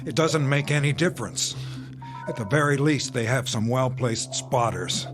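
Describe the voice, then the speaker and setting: A man's voice: mid-range voice, normal speed, over music, lightly acted. A man playing a weary, conscientious army captain in a radio drama, with an authoritative, brooding manner. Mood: weary, bitter. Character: weary, conscientious army captain commanding a front-line outpost. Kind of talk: modern radio drama acting.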